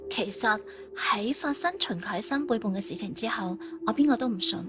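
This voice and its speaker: A woman's voice: medium pitch, moderate pace, over music, close to an everyday voice. A woman playing an earnest, determined young female agent in an audiobook, serious and insistent. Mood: earnest, pleading. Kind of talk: audiobook character acting.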